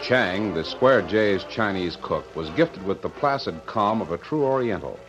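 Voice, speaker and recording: A man's voice: lowish voice, expressive, unhurried. Vintage radio serial announcer, American man, dramatic scripted delivery, music behind, lo-fi sound. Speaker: announcer narrator. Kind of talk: dramatic scripted narration, old-time radio. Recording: dull lo-fi vintage radio recording.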